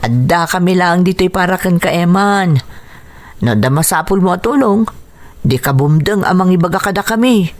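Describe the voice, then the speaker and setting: A woman's voice: lowish voice, animated, normal speed, lightly acted. A woman playing a supportive, eager friend of a boxer in a radio drama, speaking emphatically and reassuringly. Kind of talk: radio drama dialogue in Ilocano.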